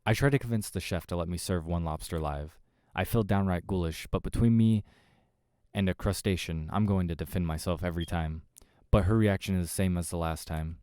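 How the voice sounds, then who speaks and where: A man's voice: low-pitched, brisk, a little mellow, clear, resonant tone, dry recording, clean studio sound. A man reading a visual novel aloud as a gamer, dramatic narration with casual asides.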